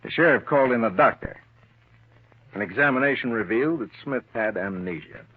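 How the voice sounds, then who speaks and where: A man's voice: mid-range voice, lively intonation, a little fast, slightly rough. An actor playing a shrewd, confident government lawman in an old-time radio crime drama, pressing his point assertively. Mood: coolly triumphant. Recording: muffled, narrow-band old radio recording.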